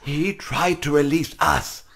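A man voicing a theatrical elephant animatronic in a game, with a husky voice. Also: fairly high pitch, slow, fairly bright tone. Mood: possessive.

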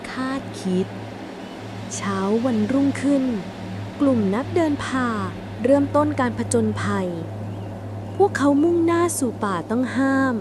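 A woman's voice: sits high, expressive, a little slow, noisy background. A woman reading a scripted suspense bedtime story as a storyteller.

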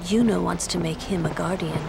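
Cool stern game heroine, lowish creaky voice, dry and sardonic, choosing words carefully. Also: female voice, steady tone, noisy background. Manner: measured, pointed, dry. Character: stern, cool, sardonic young woman, protective. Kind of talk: video game cutscene acting. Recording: music behind the voice.

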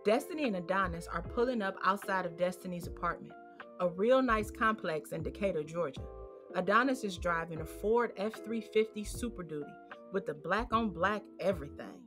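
A woman's voice: medium pitch, lively intonation, fairly quick. Female American narrator, mid-range voice, scripted romantic storytelling with music underneath.

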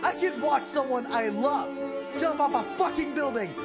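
A woman's voice: sits high, moderate pace. A woman in a frantic, exasperated, sweary comedic rant. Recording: loud music behind the voice.

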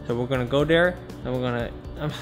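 A man's voice: medium pitch, quick pace, music in the background. A young man vlogging casually into a handheld camera in his apartment, playful and goofy. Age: young adult. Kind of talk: casual vlog talk. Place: apartment indoors. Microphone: handheld camera at arm's length.

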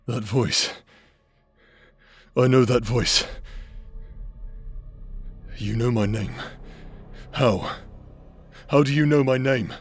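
Slow, halting, tormented warrior acted by a man. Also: mid-range voice, slightly rough, faint music behind. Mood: dazed, confused. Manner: halting, stammering, repeating broken phrases. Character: tormented warrior possessed by voices in his head. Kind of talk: dramatic anime-style romance audio roleplay acting.

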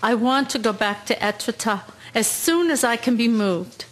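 An actress in a radio drama playing a tough, determined wounded army nurse, earnest and plucky. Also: female voice, fairly high pitch, lively intonation, normal speed, lightly acted.

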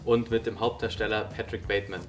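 A man in his twenties, a contestant on a dating show, chatting in a relaxed way. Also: on the low side, fast talker, soft background music. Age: 20s. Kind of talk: casual chat. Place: studio.

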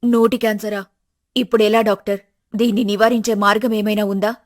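A woman voicing a worried, practical older wife and mother in an animated family story, plain-spoken and resigned. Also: mid-range voice, a little fast, natural voice.

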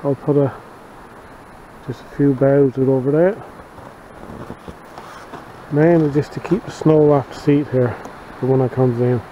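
A man's voice: mid-range voice, fairly even intonation, highs cut off, slight background noise. Folksy man in snowy woods. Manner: plain, unhurried, folksy. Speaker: bushcraft vlogger. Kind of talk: casual outdoor narration. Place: snowy forest outdoors.

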